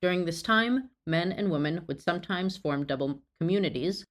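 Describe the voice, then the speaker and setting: A woman with a low-pitched voice reads a prepared history lesson. She speaks earnestly and drops in small apologetic asides. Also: lively intonation, moderate pace. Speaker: history video creator. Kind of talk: scripted educational narration.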